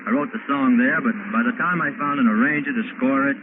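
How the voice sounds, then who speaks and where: A man's voice: mid-range voice, fast, phone-quality sound, background noise. An American man, a soldier and songwriter, chatting as a guest in a 1940s radio interview recorded on a wire recorder. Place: enlisted men's club at a party.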